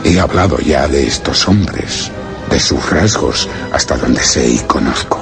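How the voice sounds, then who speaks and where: Solemn, anguished explorer, extremely low voice, measured literary narration for a horror radio play, music underneath. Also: male voice, lively intonation, vocal fry, exaggerated voice. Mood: solemn, brooding. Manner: grave, measured, literary delivery. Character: solemn, anguished male explorer recounting grim discoveries. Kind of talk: dramatic radio play narration, first-person horror tale.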